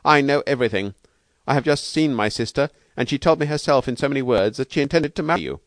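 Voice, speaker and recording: A man reader puts on a stuffy young gentleman from a novel. He is indignant and pompous, pitched up from plain narration, with clipped delivery in a dry space. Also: lively intonation, fast talker, dry recording, natural voice. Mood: tart.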